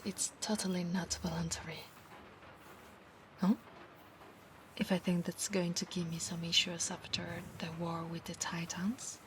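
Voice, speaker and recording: A woman with an Italian accent playing a proud, obsessive female centaur knight in a soft-spoken, formal ASMR roleplay, often dropping into breathy whispers. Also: medium pitch, lightly acted. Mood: earnest. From Italy. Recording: clear background noise, ambience.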